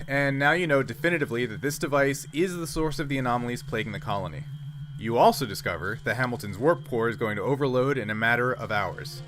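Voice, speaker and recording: A man narrating and refereeing a tabletop role-playing game as game master. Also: mid-range voice. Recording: noisy group recording with room ambience.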